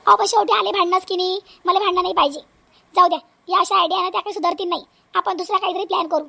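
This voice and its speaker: A woman voicing a shrewd, aggrieved village wife and mother in a family comedy cartoon, brisk and practical, with a rural Vidarbha Marathi accent. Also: very high voice, fairly even intonation, gravelly, bright, forceful tone, exaggerated voice.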